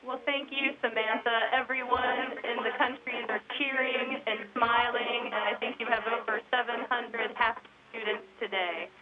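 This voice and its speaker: A woman moderator with a fairly high voice, announcing and reading questions in a clear, formal way. The audio is dull and lo-fi, and a lively crowd is heard behind her. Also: moderate pace, hardly any pauses. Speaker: event moderator. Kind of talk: moderating a live link with schools.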